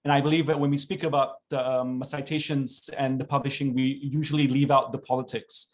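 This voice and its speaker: A middle-aged man, a professor, hosting an online panel over a video call. He talks in a relaxed, halting way with many fillers. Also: medium pitch. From born in South India, raised in Singapore.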